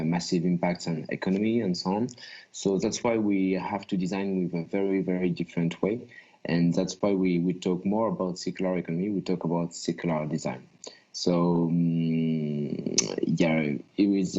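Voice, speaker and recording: A man with a very deep voice speaks as a panelist and company founder. He explains his work informally and haltingly, often searching for words, over slightly muffled audio. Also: warm tone.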